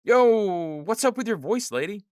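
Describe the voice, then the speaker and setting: A man's voice: sits high, unhurried, dry recording, clean studio sound. A man as a wisecracking young ex-Ranger. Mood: wary. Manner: wisecracking and excitable. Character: young male former Ranger, cocky and joking. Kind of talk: audio drama acting.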